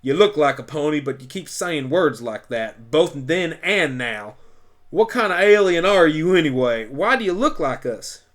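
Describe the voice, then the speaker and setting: Farm pony, female: stubborn, folksy, bright and forceful played by a man, for amateur radio drama. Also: on the high side, very animated, normal speed. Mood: stern, suspicious. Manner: folksy, forthright. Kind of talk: amateur radio play acting.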